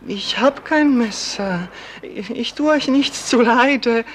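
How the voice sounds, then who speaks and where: A man's voice: very high voice, takes it slowly, a bit bright, heavily acted. An actor playing an anxious, indulgent young boyfriend in a German radio play, hesitant and soothing as he tries to reassure. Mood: alarmed. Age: young adult. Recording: noisy radio play with outdoor ambience.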